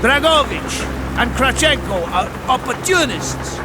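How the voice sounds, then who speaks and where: High-pitched, proud Russian captain. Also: male voice, sing-song, unhurried, heavy background noise, heavily acted. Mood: distrustful. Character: proud, defiant Soviet army captain in battle. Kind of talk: video game acting.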